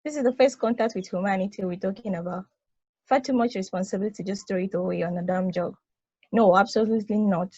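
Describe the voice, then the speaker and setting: Female space probe, woman performer: prim, responsible, articulate and emphatic. Husky voice, slightly muffled recording, stage table read. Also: medium pitch, quick pace, dark tone, dry recording, natural voice. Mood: exasperated, earnest.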